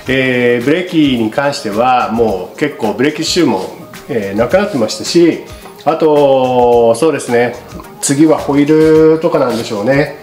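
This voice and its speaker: A man's voice: medium pitch, darkish tone. Middle-aged man explaining in a roomy space. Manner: polite, explanatory, unscripted. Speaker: bicycle shop presenter. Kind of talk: casual product explanation to camera. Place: small indoor studio with paper backdrop. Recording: roomy echo, music behind.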